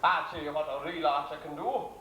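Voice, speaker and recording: A man's voice: a little high, brisk, pushed and bright, slight background noise. A man playing a scheming, greedy, smarmy pantomime villain on stage, sneering and theatrical. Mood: mocking. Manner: sneering, theatrical, plays to the audience. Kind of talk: broad stage pantomime acting. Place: theatre stage, recorded live. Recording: echoey theatre stage, distant camcorder microphone.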